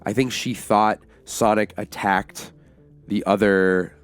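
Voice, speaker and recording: A man's voice: on the low side, fairly even intonation, takes it slowly, soft background music. A man co-hosting a casual film discussion, talking in a conversational, rambling way and often acting out imagined lines. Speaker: podcast co-host reviewing a film.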